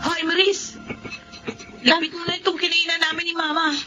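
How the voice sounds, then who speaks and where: Shrill-pitched bratty young cousin. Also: female voice, flat delivery, normal speed, highs cut off, loud music behind the voice. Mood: mocking. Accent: Filipino. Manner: mocking, haughty. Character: spoiled, taunting young daughter, bratty bully cousin. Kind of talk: melodramatic Filipino radio drama acting.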